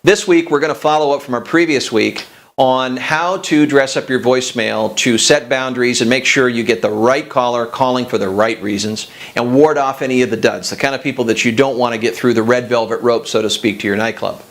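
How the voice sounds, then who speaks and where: A man's voice: medium pitch, a little fast, a bit bright. Male coaching host, mid-range voice, directive and instructional, speaking straight to the viewer. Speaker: host of a business coaching show.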